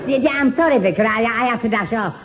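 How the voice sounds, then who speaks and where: A man's voice: extremely high pitch, quick pace, a bit bright. A man playing a dim, cheerful young suitor with a Northern English accent and a muddled stammer in old radio sitcom style. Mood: flustered. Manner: stammering, muddled, eager to please. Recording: muffled, noisy old radio recording.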